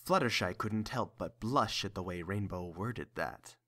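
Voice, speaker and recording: Lively male story narrator. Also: low voice, measured pace.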